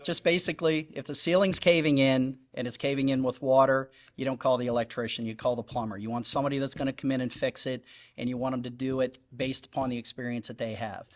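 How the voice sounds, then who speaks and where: A man's voice: mid-range voice, fairly even intonation, fast talker. A man in his fifties running for judge, giving an earnest, emphatic statement at a public candidate forum and often repeating his phrasing. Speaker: judicial candidate.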